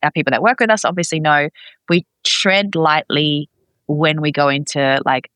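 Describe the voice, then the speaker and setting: Female podcast guest, chatty and expressive, bright forward voice, dry close recording. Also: sits low, moderate pace, clear, resonant tone, no echo. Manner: chatty, expressive, acts out reported dialogue. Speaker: podcast guest, expat parent. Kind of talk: casual conversational interview.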